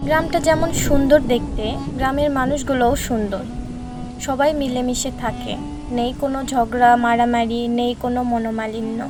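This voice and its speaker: A woman narrates a cartoon tale in a high voice. Music plays clearly behind her. Her delivery is scripted storytelling. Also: fairly even intonation, normal speed.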